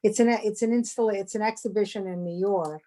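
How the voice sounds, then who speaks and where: A woman, around fifty, talking on a video call in a hesitant, halting way, restarting her sentences often. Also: mid-range voice, warm tone. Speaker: sculptor and course participant. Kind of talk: casual video-call chat.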